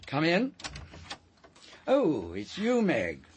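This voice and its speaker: An actor playing a kindly, earnest older priest giving measured counsel in a radio drama. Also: male voice, fairly high pitch, wildly swooping pitch, noisy background, full character voice. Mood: kindly, assured.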